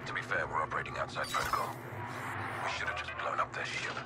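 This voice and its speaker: A man's voice: rumbling low, fairly even intonation, quick pace, loud music behind the voice. A man playing a dry, confident military agent, a slightly smug second-in-command in a video game. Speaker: Imperial agent.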